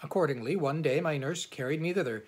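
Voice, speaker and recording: A man reading an old classic novel aloud as an amateur audiobook narrator, in a measured, formal storytelling manner with a husky voice. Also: fairly high pitch.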